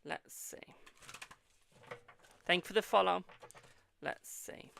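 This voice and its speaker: A man's voice: high voice, slow, creaky, bright, forceful tone, slight background noise. A man hosting a live-stream tarot reading, answering viewers' questions in a chatty, reassuring way and calling them "my darling".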